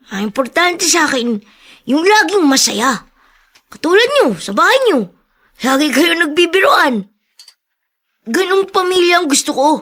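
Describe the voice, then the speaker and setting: Radio drama part: sweet, guarded street boy, very high voice, Filipino accent. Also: fairly bright tone, exaggerated voice. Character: young homeless boy, sweet, timid and secretive.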